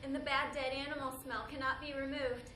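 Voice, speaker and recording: A woman's voice: high voice, level tone, brisk, bright, forceful tone, distant mic. A woman performing a theatrical monologue as a traumatized, haunted war survivor, numb and grieving. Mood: horrified. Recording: echoey hall, clear background noise.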